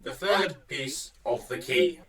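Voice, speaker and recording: A man's voice: on the high side, huge pitch swings, slow, a bit bright, a little hiss or hum, heavily acted. A man playing a solemn, pedantic quest-giver in a comic fantasy audio drama, portentous yet matter-of-fact. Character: solemn, pedantic male quest-giver who sets riddling tasks. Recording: slightly distant from the microphone.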